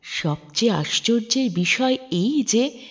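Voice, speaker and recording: A woman narrating a literary story in a measured, expressive, formal manner. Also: a little high, breathy edge. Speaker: storyteller reading a literary short story aloud. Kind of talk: scripted narration, expressive audiobook reading.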